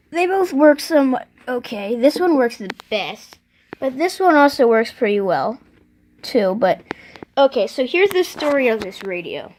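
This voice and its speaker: A vlogger casually showing off a collection, speaking unscripted and haltingly, often stopping to clear the throat. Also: sits high, low-quality recording. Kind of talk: casual unscripted show-and-tell.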